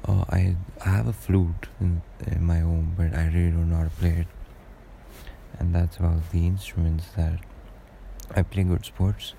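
A young man with a deep voice, rambling through a casual solo podcast monologue with lots of hesitations. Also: flat delivery, moderate pace, gravelly, mellow, a little hiss or hum, low-quality recording. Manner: rambling and hesitant, many fillers and restarts. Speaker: podcast host.

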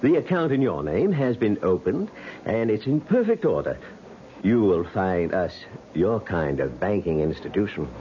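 An actor playing a fawning, flowery bank manager in a 1970s radio drama, formal, fussy and obsequious. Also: male voice, deep voice, sing-song, normal speed. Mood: fawning, eager. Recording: muffled 1970s radio broadcast, faint noise.

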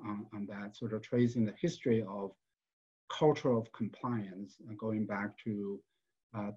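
A man from China with a slightly husky voice and a mid-range pitch gives a panel talk as a professor. He speaks haltingly, restarting his phrases and repeating small words. The recording is a little dull and lo-fi. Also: a little mellow.

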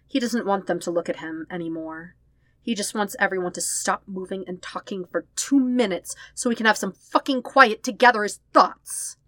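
A woman reading a story as a scripted narration, expressive and leaning on the emotional words. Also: mid-range voice, moderate pace, no echo. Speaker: fan fiction podfic reader.

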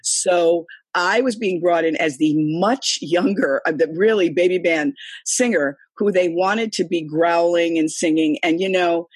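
Husky older woman telling stories. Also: fairly low pitch, unhurried, hardly any pauses, dry recording. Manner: chatty, rambling storyteller with many fillers. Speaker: singer and guest. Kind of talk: casual interview conversation. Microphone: remote call, computer.

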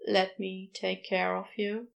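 A woman voicing a tender, devoted man who speaks softly and sincerely in an audiobook. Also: medium pitch, flat delivery, slow pace, vocal fry, pitched below the performer's own voice. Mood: pleading, tender. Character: low-voiced tender man, quietly devoted lover. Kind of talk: audiobook character dialogue.

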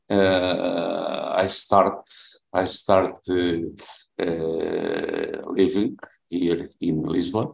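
A deep-voiced man in his seventies speaks English with a Portuguese accent, slowly and haltingly, restarting his sentences again and again. His voice is gravelly, and the room lends it a noticeable echo. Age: 70s. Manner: halting, with many restarts and fillers. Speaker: language student. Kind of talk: classroom self-introduction. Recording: roomy echo.

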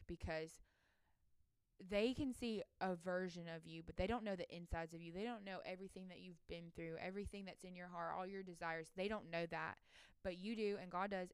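Clear-voiced young woman, casual motivational podcast. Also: lowish voice, dry recording. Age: 20s. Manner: earnest, conversational, emphatic, uses "like" often. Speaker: solo podcast host.

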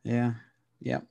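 A young man co-hosting a podcast, mostly chiming in with brief agreeing replies and easy laughter during casual football chat. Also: dark tone. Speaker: podcast co-host.

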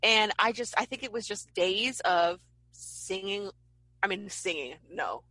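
A woman fitness instructor in casual chat, teasing and lively. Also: mid-range voice, measured pace, no echo, low-quality recording.

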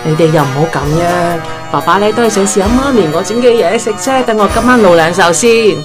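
A woman's voice: medium pitch, fairly quick, close to an everyday voice. A woman playing a cheery, overconfident home-cook mother with a Hong Kong accent in a comic audio drama. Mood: cheery, chiding. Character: cheery, overconfident mother who fancies herself a chef. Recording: music and sizzling behind the voice.

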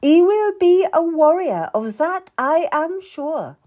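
A domineering, boisterous king, acted out by a woman reading a historical story. He talks down to everyone in slow, commanding phrases, with emphatic swings in pitch. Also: lively intonation, warm tone, highs cut off, heavily acted. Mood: hearty, pleased.

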